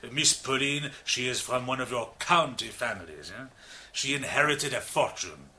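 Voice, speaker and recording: An actor playing a big, expansive, reformed French criminal in a radio drama, with a French accent and an effusive, theatrical manner. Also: male voice, medium pitch, expressive, moderate pace, pushed and bright, a little hiss or hum. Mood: flustered. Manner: effusive, theatrical, with hesitant asides.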